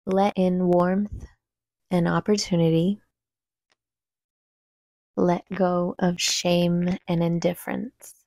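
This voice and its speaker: Slow, mellow woman guiding meditation. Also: fairly low pitch, creaky, no echo, rough recording. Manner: calm, soothing, unhurried instruction. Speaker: meditation guide. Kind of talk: guided meditation.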